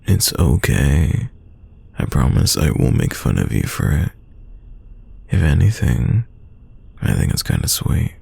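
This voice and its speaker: Man acting a very deep, gravelly teasing crush. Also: heavily acted. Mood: teasing, fond. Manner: playful, teasing, soft close delivery. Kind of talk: romantic roleplay audio, intimate one-sided dialogue.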